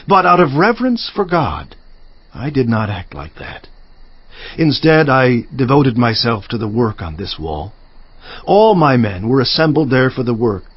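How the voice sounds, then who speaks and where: An actor playing a resolute biblical governor, narrating scripture in a solemn, emphatic, declamatory manner. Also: male voice, mid-range voice, very animated, unhurried, breathy edge, dry recording, muffled recording. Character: resolute, indignant biblical governor recounting his own deeds. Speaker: narrator of dramatized audio Bible.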